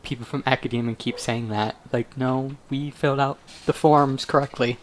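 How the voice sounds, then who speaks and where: A woman's voice: rumbling low, fairly even intonation, normal speed, a little hiss or hum. A woman giving casual, informal and reactive commentary while playing a game. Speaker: streamer.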